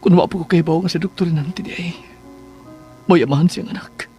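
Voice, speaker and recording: A man playing a brooding, scheming lover in a radio drama, speaking in a slow, deliberate monologue. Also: a little high, steady tone, mellow, faint music behind, lightly acted.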